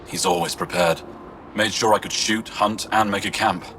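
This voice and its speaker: A man's voice: lowish voice, fairly even intonation, moderate pace, fairly bright tone, lightly acted. A man playing an earnest, dutiful young alien sharpshooter in a video game, plain-spoken and eager. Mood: reserved, wistful. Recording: game ambience with vehicle noise.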